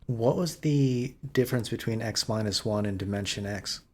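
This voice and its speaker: A man with a fairly low voice speaks as a podcast co-host. His delivery is casual and conversational, like an informal discussion. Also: moderate pace.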